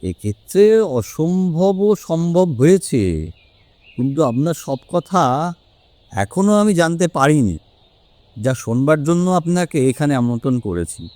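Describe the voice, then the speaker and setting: A self-assured detective, played by a man, speaks in a fairly high, expressive voice. He is probing and deliberate, commanding the scene as in a radio mystery play. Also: no room sound. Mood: calm, ominous. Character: Hemanta, shrewd, self-assured male detective. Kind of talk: Bengali audio drama acting.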